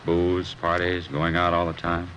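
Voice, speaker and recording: An actor playing a fed-up working-class American welder in an old radio crime drama, blunt, slangy and resentful. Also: male voice, low-pitched, completely flat delivery, a little slow, fairly bright tone, muffled recording. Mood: bitter. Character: bitter, fed-up working-class welder estranged from his wife. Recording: noisy old radio recording, machinery hum.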